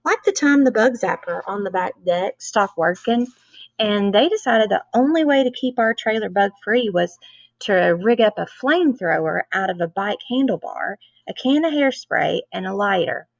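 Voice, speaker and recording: A woman narrates a children's book with lively expression. Her voice has a gravelly fry at the edges. The recording is dry and a little dull. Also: mid-range voice, moderate pace, dry recording. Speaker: read-aloud reader.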